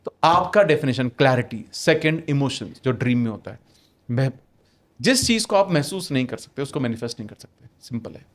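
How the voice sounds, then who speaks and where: A man's voice: mid-range voice, expressive, fairly quick. A man on a podcast as a guest author, explaining ideas emphatically with rhetorical questions and English phrases mixed into his talk.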